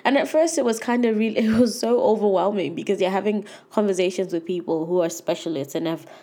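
A woman's voice: mid-range voice, fast, mellow. A young woman with a cold and a nasal, congested voice, hosting her own podcast as a chatty, earnest solo talk with lots of fillers. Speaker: podcast host. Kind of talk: casual solo podcast chat.

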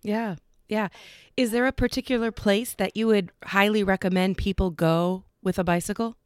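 Clear-voiced woman interviewer, dry sound. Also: medium pitch, fairly even intonation, normal speed, no echo. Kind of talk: conversational interview questions.

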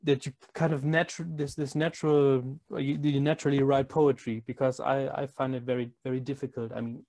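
A man's voice: mid-range voice, level tone, a little fast, mellow. A man with a German accent, speaking English as a second language, interviewing a guest hesitantly, with many restarts and repeated words. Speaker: podcast host, interviewer. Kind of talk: casual interview chat.

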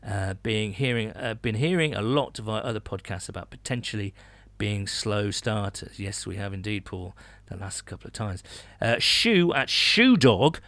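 A man's voice: on the low side, animated, moderate pace, a bit bright, dry recording. A British man hosting a sports podcast, chatting casually with rambling asides and reading out listener messages. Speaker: podcast host.

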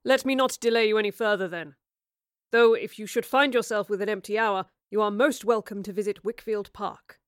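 A woman's voice: medium pitch, fairly even intonation, fairly quick, smooth, no echo, studio quality, lightly acted. A woman voicing a reserved, courteous male naval captain who speaks formally. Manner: formal, polite.

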